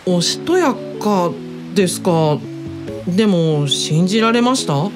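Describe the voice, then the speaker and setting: A polite, earnest young woman played by an actress with very expressive, moving pitch over music. She is deferential and a bit hesitant, as an audio drama colleague. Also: takes it slowly. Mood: curious, surprised. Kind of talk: Japanese audio drama dialogue.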